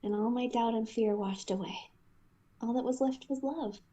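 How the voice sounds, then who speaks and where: A woman acts out a lovestruck young woman in a fan dub romance. The voice sits fairly high, sincere and tender, with a hesitant edge as she opens her heart. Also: moderate pace, dark tone, muffled recording, natural voice. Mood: moved, loving. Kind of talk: fan comic dub acting.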